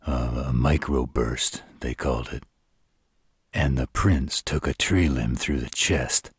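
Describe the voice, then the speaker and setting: A man voicing an arrogant, brooding male vampire in a scripted audio roleplay, with slow, dramatic delivery and a rough voice. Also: no room sound, studio quality, heavily acted. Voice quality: very deep, rough husky voice. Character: arrogant male vampires plotting, grave and cocky.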